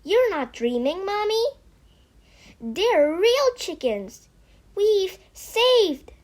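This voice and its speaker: A woman as an excited high-pitched little fox cub. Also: drawn-out words, heavily acted. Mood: eager, thrilled. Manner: breathless, exclamatory, spluttering. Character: excited, eager small boy fox cub.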